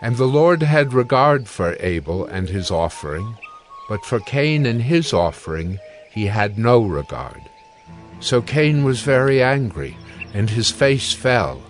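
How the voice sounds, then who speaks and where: A man narrating scripture from a script in a measured, solemn manner. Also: medium pitch, very animated, soft background music.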